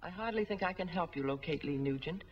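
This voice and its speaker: An actress playing a businesslike middle-aged records official, formal and precise, in a 1940s film. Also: female voice, lowish voice, fast, a little hiss or hum, lightly acted. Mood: businesslike, regretful. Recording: muffled 1940s film soundtrack.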